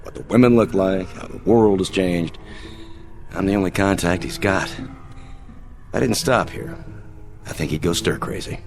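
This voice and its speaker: An actor playing a seasoned spaceship captain, firm but compassionate, in an audio drama. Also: male voice, sits low. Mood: reflective. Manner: terse commands, measured explanations. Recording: music bed under the voice.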